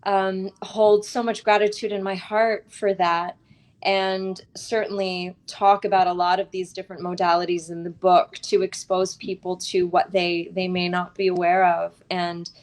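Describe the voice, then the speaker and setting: A woman's voice: lowish voice, little pitch movement, a little slow. Earnest, reflective woman in conversation. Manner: earnest, reflective, spiritual-teacher phrasing with tag questions. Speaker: author interviewed as a guest. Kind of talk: conversational interview.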